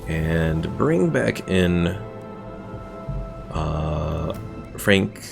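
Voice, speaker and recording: A man with a deep, gravelly voice talks casually through a strategy game stream. He rambles, reads viewer messages and lore text aloud. Soft game music sits faintly behind him. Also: expressive, drawn-out words. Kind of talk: casual gameplay commentary.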